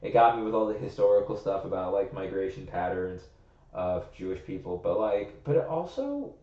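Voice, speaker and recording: Rambling man thinking out loud, roomy sound. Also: deep voice, sing-song, normal speed. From United States. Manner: rambling, thinking aloud, heavy on "like". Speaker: podcast co-host. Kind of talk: casual chat. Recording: roomy, slightly muffled recording.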